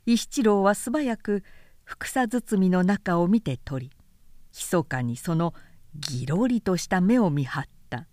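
A woman narrator reading literary fiction aloud in a measured, expressive storytelling manner. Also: lowish voice, no room sound.

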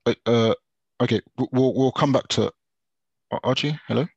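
Gravelly husky guy moderating a video call q&a, kinda flustered, stumbles over words. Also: medium pitch, normal speed, no echo, dull audio. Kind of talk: moderating an online Q&A.

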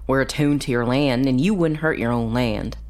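Actress as a very low-voiced, cold witch. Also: moderate pace. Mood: firm, defiant. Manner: sharp, cold, defiant. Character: hard, defensive witch mother, cold and proud. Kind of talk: audiobook drama acting.

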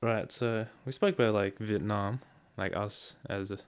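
A man's voice: fairly low pitch, steady tone, a little slow, smooth. A young man from Australia, chatting casually and rambling with lots of "like" fillers. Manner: rambling, filler-heavy talk, "like" every few words. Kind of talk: casual chat.

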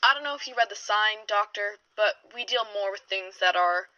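A woman's voice: mid-range voice, normal speed, bright, forceful tone, dry recording, dull audio, close to an everyday voice. A woman playing a cool, formal, skeptical 1920s researcher taking statements in an audio drama. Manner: crisp, polite but skeptical.

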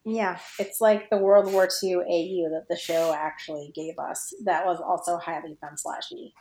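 A woman's voice: lowish voice, steady tone, moderate pace, warm tone, a little hiss or hum. Echoey, informal woman podcast guest. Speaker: podcast guest, fan fiction writer. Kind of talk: casual podcast chat. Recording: roomy, echoey sound.

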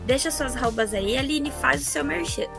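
Animated Brazilian woman hosting a podcast. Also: high voice, moderate pace, gravelly, over music. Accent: Brazilian Portuguese. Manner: animated, emphatic, often exclaims "gente". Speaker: podcast host. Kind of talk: casual podcast interview.

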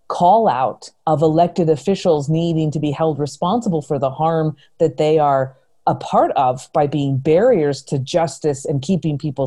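A woman hosting a casual discussion in a soft-edged, mellow voice that sits a little low. She makes her points emphatically, often through everyday examples. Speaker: host.

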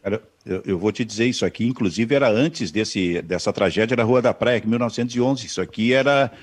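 A man's voice: on the low side, fast talker, dry recording. A man with a southern Brazilian gaúcho accent, musing casually in conversation. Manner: musing, conversational, reads from a book. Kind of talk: casual chat.

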